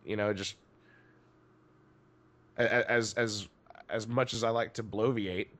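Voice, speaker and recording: A man from Tennessee with a faint trace of its accent and a rough smoker's voice, a podcast guest talking bluntly in casual chat with lots of stammered restarts. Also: fairly low pitch, steady tone, measured pace, fairly bright tone. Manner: blunt, rambling, many restarts. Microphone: podcast microphone.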